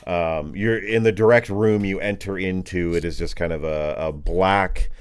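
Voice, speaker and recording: A man working as a game master, improvising tabletop narration casually and haltingly, searching for words. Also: low voice, steady tone, clear, resonant tone.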